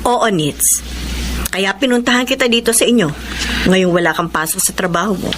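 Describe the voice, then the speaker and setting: A woman's voice: medium pitch, expressive, normal speed, noisy background, natural voice. An actress playing a concerned older mother admonishing her grown daughter in a Filipino radio drama. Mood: probing. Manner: earnest, admonishing.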